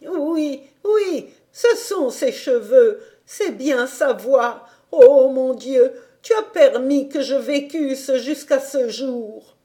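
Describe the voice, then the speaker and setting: A woman's voice: high voice, slow pace, a little mellow, pitched above the performer's own voice. A woman voicing an old, blind, tender grandmother in a storybook reading, speaking in a trembling, pleading way. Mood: tearful joy.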